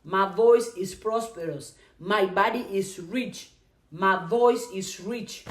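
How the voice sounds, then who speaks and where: Slow woman chanting affirmations, echoey room. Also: mid-range voice. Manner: bursts into excited cheering. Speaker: motivational live-stream host. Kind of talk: affirmations and live Q&A.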